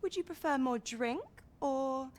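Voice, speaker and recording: A flirt draped over the throne at a fantasy game revel, high, fawning sweetness with a little ambient noise around her. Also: measured pace. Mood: playful, flirtatious. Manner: coquettish, fawning. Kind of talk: video game voice acting.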